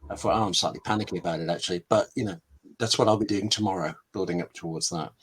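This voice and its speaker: A man's voice: low-pitched, fast, a bit bright, husky, poor audio. A man answering interview questions as a scriptwriter guest on an online webinar, candid and self-deprecating, speaking in short emphatic phrases.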